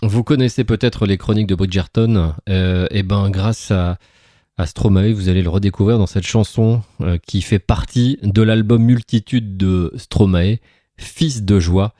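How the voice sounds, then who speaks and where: A male radio host with a dark, mellow, fairly low voice. He introduces interview segments straight to the listeners, a little haltingly, in a very dry close sound. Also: no room sound.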